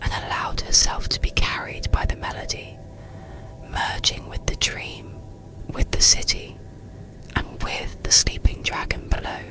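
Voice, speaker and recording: A narrator reads a soothing ASMR bedtime story in a hushed, breathy, unvoiced whisper with a gentle manner. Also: unhurried, poor audio.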